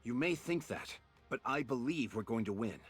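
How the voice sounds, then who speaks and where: A man voicing a weary but idealistic military commander in a game, husky, grave and measured. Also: mid-range voice, expressive, a bit bright, soft background music. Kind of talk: scripted game voice acting.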